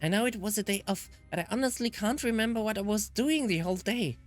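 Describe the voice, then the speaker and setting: A man voicing an excitable, carefree young woman from a visual novel in a playful, breezy manner. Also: high-pitched, fast talker, soft background music, voice pushed up, lightly acted. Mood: casual, puzzled.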